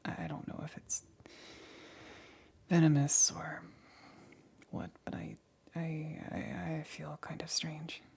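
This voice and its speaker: A woman performs an awkward, shaken female scientist for an ASMR audio drama. Her voice is extremely breathy and whispery, sitting low with little melody. She speaks haltingly, stammering and hesitating while trying to sound reassuring. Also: level tone, darkish tone, heavily acted. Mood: dazed, woozy. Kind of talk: ASMR roleplay, whispered acting.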